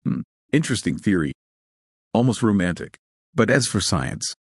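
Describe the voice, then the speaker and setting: A man's voice: on the low side, very animated, moderate pace, husky, no echo, clean studio sound. A man voicing a grumbling, skeptical elderly scientist in an audio story. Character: grumbling elderly male scientist, gaunt, fatherly boss.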